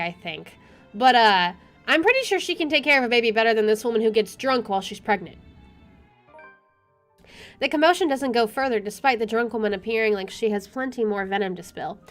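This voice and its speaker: A woman game streamer reading visual novel narration aloud and adding casual commentary. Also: fairly high pitch. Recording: game music behind.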